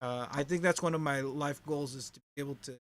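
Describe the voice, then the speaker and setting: A man hosting a relaxed chat about keyboard playing, chatty and full of "you know what I mean". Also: a little high, fast, slight background noise. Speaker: host, keyboard player. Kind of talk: casual chat about music.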